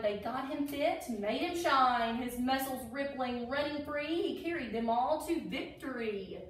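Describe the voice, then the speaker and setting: Slow-paced woman reading to children, echoey room. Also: sits high. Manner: addresses children, enthusiastic asides. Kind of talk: children's picture book read-aloud with asides. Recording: echoey room, distant microphone.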